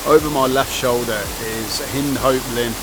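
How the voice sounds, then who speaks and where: A man's voice: medium pitch, steady tone, a little slow, loud music behind the voice, rough recording. A man chatting casually to camera outdoors as a travel vlogger, upbeat and loose with fillers. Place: outdoors on a road trip.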